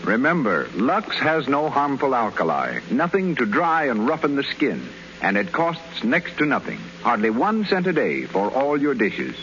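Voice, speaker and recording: A man's voice: wildly swooping pitch, normal speed, fairly bright tone, faint music behind. A man delivering a radio commercial as an emphatic announcer. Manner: emphatic sales pitch. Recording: dull, faintly hissy 1930s radio.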